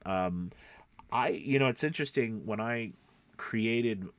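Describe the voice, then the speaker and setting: A man's voice: lowish voice, slow pace, dry recording. A man giving an informal tutorial as a voice-over coach, talking in a rambling, conversational way and often checking in with the listener. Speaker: voice-over coach and podcast host.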